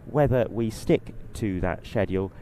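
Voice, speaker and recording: A man with a slightly husky, mellow voice presents live airshow coverage. He explains things in a measured, informative manner, a little short on sleep, with airfield noise behind him. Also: lowish voice. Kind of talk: live broadcast commentary, explanatory and ad-libbed.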